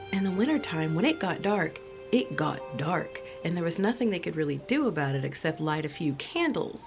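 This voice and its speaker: A woman host chatting playfully to camera with cozy enthusiasm, her voice a little off because she is coming down sick, sometimes dropping into a spooky whisper. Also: sits low. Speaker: channel host. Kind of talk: cozy casual chat to camera.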